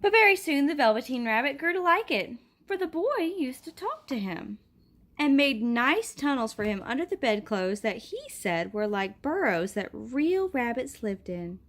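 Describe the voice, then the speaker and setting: A woman reads a children's picture book aloud. Her delivery is gentle and expressive. Her voice sits somewhat high for a woman. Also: moderate pace. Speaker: storybook reader.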